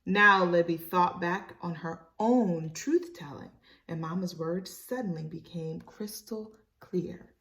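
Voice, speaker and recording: A woman's voice: fairly low pitch, takes it slowly, fairly bright tone, distant mic. A woman reading a storybook aloud expressively for children, encouraging and speaking to them as friends. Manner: encouraging, addresses young listeners as friends.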